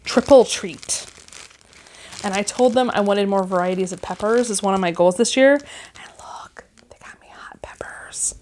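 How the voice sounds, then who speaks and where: Woman, thirties, excited and gushing, casual home chat, mid-range voice. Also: medium pitch, measured pace, slight background noise. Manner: excited, gushing, reads seed packets aloud. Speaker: gardening vlogger. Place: living room at home, indoors.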